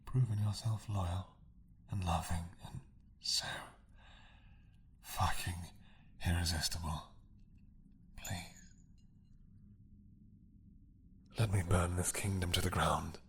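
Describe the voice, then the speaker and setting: A man playing a possessive, doting mad dragon king in a romantic roleplay drama, with a husky, breathy voice that often drops to a whisper and a regal, seductive manner. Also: low voice, steady tone, fairly bright tone, a little hiss or hum, exaggerated voice. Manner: slow, regal, seductive purring menace.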